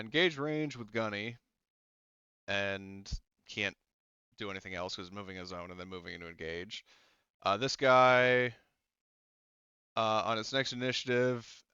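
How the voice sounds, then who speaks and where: A man running a tabletop game as game master, pausing often with ums while he counts dice and tracks the turn. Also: on the low side, bright, forceful tone, clean tone, dry recording, lo-fi sound. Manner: halting, many ums, counts dice aloud.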